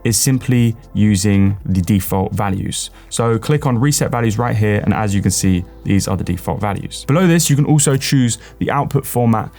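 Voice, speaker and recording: A man presenting an instructional software walkthrough, explaining step by step and stressing the openings of his phrases. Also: on the low side, moderate pace, creaky, few pauses, faint music behind. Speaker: tutorial presenter.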